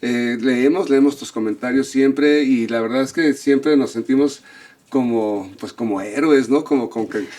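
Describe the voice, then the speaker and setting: A man's voice: medium pitch, normal speed, warm tone. A man co-hosting a tarot channel interview, speaking casually and rambling with repeated words.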